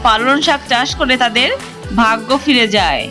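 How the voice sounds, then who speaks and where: A Bengali woman narrates a cartoon story in a high-pitched voice. The delivery is scripted storytelling, with faint music behind it. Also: animated, moderate pace, sharp tone.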